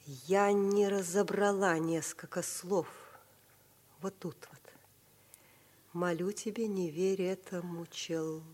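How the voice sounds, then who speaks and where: An actress playing a gentle, anguished middle-aged widowed mother in an old radio stage drama, speaking in a halting, emotionally fraught way. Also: lowish voice, fairly even intonation. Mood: shaken. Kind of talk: mid-century Soviet radio stage drama.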